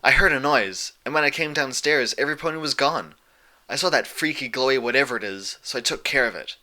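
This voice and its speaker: A man's voice: mid-range voice, a little fast, sharp tone, voice pushed up. A man voicing a small, eager boy creature with a casual, boyish, proud manner.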